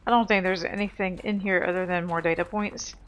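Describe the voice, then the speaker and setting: A woman's voice: lowish voice, steady tone, a little fast, muffled recording, noisy background. A woman hosting a let's play with casual, rambling, self-deprecating game commentary. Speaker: gamer, let's play host.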